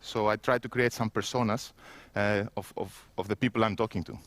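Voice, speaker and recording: A man's voice: on the low side, fairly even intonation, brisk, a bit bright, no room sound. A man in his thirties with a Greek accent, giving a self-mocking conference keynote while standing in an empty indoor room on a headset mic. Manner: self-mocking, conversational, with frequent restarts. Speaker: keynote speaker.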